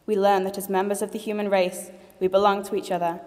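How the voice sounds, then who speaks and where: A graduating girl in her late teens speaks at a leavers' assembly, earnest and heartfelt, with the odd joke. Her voice sits at an ordinary middle pitch for a young woman. The sound carries the long reverb of a large hall. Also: little pitch movement, quick pace, darkish tone. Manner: earnest and heartfelt, with light jokes. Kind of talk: formal graduation speech.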